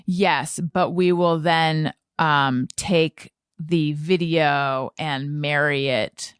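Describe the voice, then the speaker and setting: American woman podcaster, low-pitched and thoughtful. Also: fairly even intonation, clear, resonant tone, no echo, studio quality. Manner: halting, self-correcting, thinking aloud. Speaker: podcast host and interviewer. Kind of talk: casual conversational interview chat.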